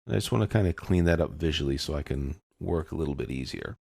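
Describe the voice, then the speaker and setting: A man talks through a software lesson in a very low voice. There is plenty of fry in it, and the sound is dry and close. He explains each step informally, as an instructor would. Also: lively intonation, quick pace, dry recording. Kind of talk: step-by-step screencast lesson, casual.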